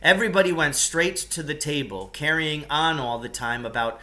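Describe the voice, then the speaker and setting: A man reading a novel aloud in an expressive, carefully enunciated storytelling manner. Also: a little high, normal speed.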